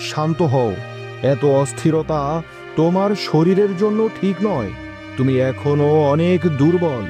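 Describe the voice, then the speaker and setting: Man playing a formal king, slightly high voice. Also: expressive, unhurried, music in the background. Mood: grave. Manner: formal, commanding. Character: stern, authoritative king. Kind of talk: dramatic cartoon fairy-tale voice acting.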